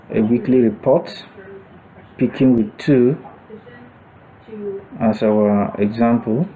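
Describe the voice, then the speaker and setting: A man giving an unscripted step-by-step software demonstration, thinking aloud in a halting manner. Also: medium pitch, rough recording. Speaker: software tutorial instructor.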